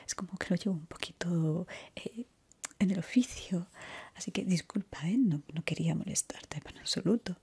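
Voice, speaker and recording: A young woman whispers very breathily, right up at the microphone. Her voice is mellow and fairly low, with hardly any solid tone. She speaks gently and reassuringly, like a chatty barber in an ASMR roleplay. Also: slightly rough. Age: young adult. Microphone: close ASMR microphone.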